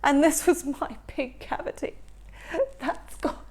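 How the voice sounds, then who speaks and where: A woman's voice: high-pitched, slow pace, darkish tone, voice pushed up. A woman voicing a tiny, gloomy male tooth troll in a storybook reading, whiny and glum. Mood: sulky. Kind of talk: storybook character voice.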